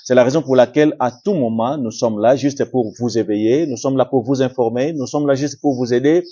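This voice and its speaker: A man with a Congolese French accent hosts a television talk show, his voice dark and mellow. He hesitates often, filling gaps with "euh" and repeating himself. The recording sounds somewhat dull and lo-fi. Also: medium pitch, a little fast, husky. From Democratic Republic of the Congo. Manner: hesitant, many "euh" fillers, repetitive phrasing. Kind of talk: TV studio interview.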